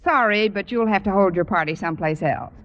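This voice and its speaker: An American actress playing a wry, wisecracking English teacher with dry comic timing in an old radio comedy. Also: female voice, medium pitch, a little fast, natural voice. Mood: sardonic. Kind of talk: 1940s-50s radio comedy acting. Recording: muffled old radio recording, faint noise.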